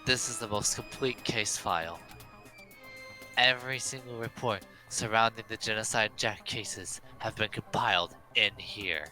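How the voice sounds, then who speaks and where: Smug young male aristocrat , played by a man, declaiming in a lowish sharp voice over faint game music. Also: measured pace. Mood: lecturing. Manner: pompous, declaiming. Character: haughty, pompous young heir relishing a murder mystery.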